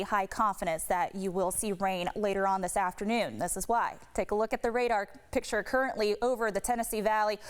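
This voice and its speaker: A woman's voice: on the high side, clean tone. A woman speaking as a TV meteorologist, giving an unscripted, emphatic and explanatory weather forecast. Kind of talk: ad-libbed weather forecast commentary.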